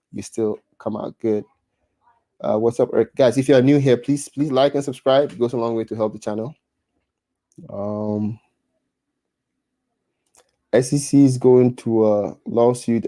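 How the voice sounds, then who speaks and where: A man's voice: mid-range voice, dark tone, dry recording. A man in his thirties from Ghana with a Ghanaian accent, chatting casually on a livestream and reading out viewer comments, often restarting and repeating words. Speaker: livestream host.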